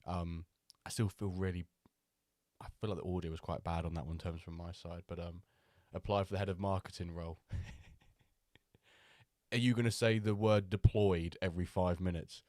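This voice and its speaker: A man hosting a live stream, chatting loosely with many pauses and fillers, still a little nasal from a cold. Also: sits low, steady tone, darkish tone, no echo, clean studio sound. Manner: chatty, rambling, with many ums and restarts. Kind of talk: casual live-stream chat.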